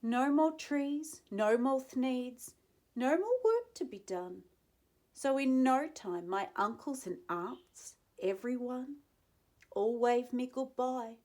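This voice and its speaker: Very slow, expressive woman storyteller. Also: a little high. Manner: dramatic pauses, drawn-out phrasing. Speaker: children's story reader. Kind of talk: expressive read-aloud narration with asides to listeners.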